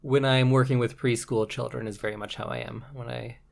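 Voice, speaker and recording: A woman's voice: very deep voice, steady tone, a little fast, creaky, a little mellow. A woman with a Canadian accent, co-hosting a casual podcast chat in a frank way, swearing now and then. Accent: Canadian English. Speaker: podcast co-host.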